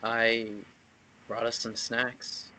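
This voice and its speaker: A man plays a stubborn, earnest young man in a romance drama. His voice is bright and forceful at an ordinary male pitch, with a halting, pleading intensity. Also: monotone, full character voice, low-quality recording. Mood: guarded. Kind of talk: dramatic table read of a romance screenplay.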